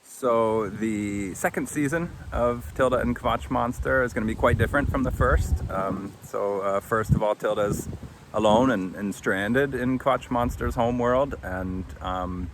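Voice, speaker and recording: Low-voiced halting man, outdoors. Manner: hesitant, halting with long pauses. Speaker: podcast host and author. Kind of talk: casual unscripted recap. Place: outdoors under a tree. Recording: outdoor ambient noise.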